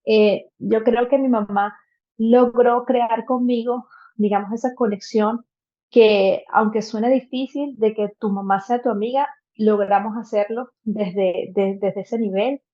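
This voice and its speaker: A woman's voice: a little high, fairly even intonation, unhurried, highs cut off. A Venezuelan woman in her thirties, a podcast guest telling a personal story earnestly, with many fillers and restarts. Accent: Venezuelan Spanish.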